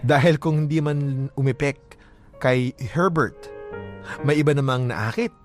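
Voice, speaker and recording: A man's voice: mid-range voice, unhurried, music in the background, lightly acted. A man narrating as a lovestruck, self-assured letter writer in a Filipino radio drama, confiding and dramatic. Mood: wistful, self-assured.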